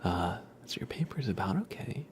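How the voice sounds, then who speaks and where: A livestream host speaking softly, often in a whisper, chatting casually and gently with viewers in an ASMR style. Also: low-pitched, very animated, moderate pace, a little hiss or hum. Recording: music behind the voice. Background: plucked guitar playing.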